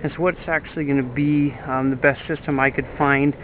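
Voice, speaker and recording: A man's voice: medium pitch, fairly quick. Man with a tinny, phone-like sound. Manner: relaxed, explanatory, with fillers and restarts. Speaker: DIY channel host. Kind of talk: casual walkthrough vlog. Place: inside an empty cargo trailer. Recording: tinny lo-fi audio with faint background noise.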